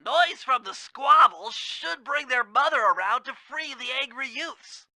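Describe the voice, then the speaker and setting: Nerdy nasal cartoon game sidekick, super bright high voice, fussy and precise. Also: male voice, lively intonation, measured pace, dry recording, full character voice. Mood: explaining, clever. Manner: precise, formal, technical phrasing. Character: brainy, anxious, precise male gadget expert animal sidekick. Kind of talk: cartoon video game voice acting.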